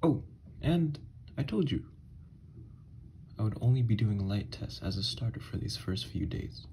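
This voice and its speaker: A man voicing an obsessed, unhinged kidnapper scientist in an ASMR horror roleplay, soft and breathy, coaxing gently with quiet menace. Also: on the low side, animated, unhurried, slight background noise. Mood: eerily calm. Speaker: ASMR audio roleplay voice actor. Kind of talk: ASMR horror roleplay monologue, partly whispered.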